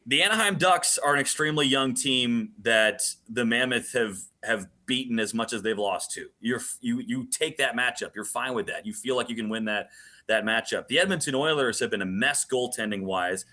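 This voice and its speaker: Male sports broadcaster, mid pitch with a bright, forceful edge; emphatic guest analyst who doubles phrases for stress. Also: normal speed. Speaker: hockey play-by-play broadcaster as studio guest. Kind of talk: animated sports talk, analysis.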